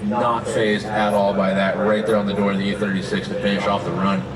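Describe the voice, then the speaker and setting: A man's voice: on the low side, little pitch movement, brisk. A man commentating live on drifting from a track booth, explaining the action in a casual play-by-play style. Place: announcer booth at an outdoor race track. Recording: echoey, distant tower audio, loud crowd and engines. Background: loud crowd and car engines.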